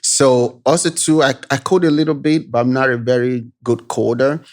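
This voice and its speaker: A man's voice: medium pitch, moderate pace. Nigerian-accented man telling his story. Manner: relaxed storytelling, frequent "you know" tags. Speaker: guest, tech founder. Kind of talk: casual interview chat.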